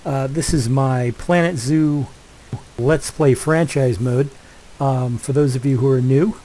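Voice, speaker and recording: A man's voice: mid-range voice, unhurried, warm tone, poor audio. Congested older man rambling through gameplay. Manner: rambling, many fillers and restarts. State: congested from a head cold. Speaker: let's play host. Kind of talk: casual gameplay commentary.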